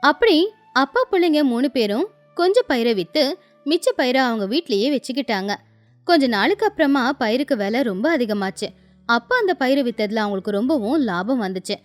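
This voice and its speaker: A woman's voice: sits high, lively intonation, fairly quick, soft background music. A woman telling a scripted moral tale as its storyteller.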